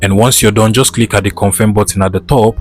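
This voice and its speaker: Low-voiced man narrating a tutorial. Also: fairly even intonation, fast talker, soft background music. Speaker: tech tutorial presenter. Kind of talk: scripted step-by-step tutorial narration.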